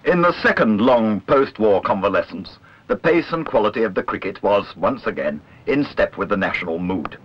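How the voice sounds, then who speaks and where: Low-voiced man narrating, dull old soundtrack. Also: expressive, normal speed, few pauses, no room sound. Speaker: documentary narrator. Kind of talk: scripted literary documentary narration. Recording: dull lo-fi old film soundtrack, clear noise.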